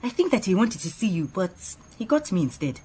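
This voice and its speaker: Animated, opinionated woman assistant. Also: medium pitch, fairly quick, breathy edge. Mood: coy, chatty. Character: earnest, opinionated female detective's assistant, proud and chatty. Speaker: actor in radio drama. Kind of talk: radio drama acting.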